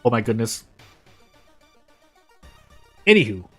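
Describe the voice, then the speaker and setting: An American man streaming a game, thinking aloud in casual commentary with frequent restarts and sudden jumps in pitch. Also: very animated, slow pace, a bit bright. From United States. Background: faint game music.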